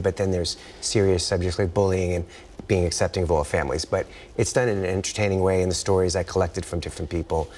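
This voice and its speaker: Very deep-voiced American man, rambling casually. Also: a little mellow. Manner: rambling, trails off mid-thought. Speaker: author, interview guest. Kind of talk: casual interview chat.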